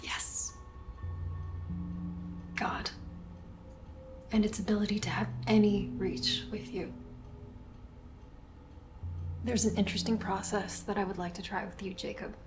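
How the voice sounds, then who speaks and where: A woman playing a calm therapist in an audio horror drama, speaking in a measured, reassuring way. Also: mid-range voice, whispered, lightly acted. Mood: hushed, reassuring. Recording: faint music behind the voice.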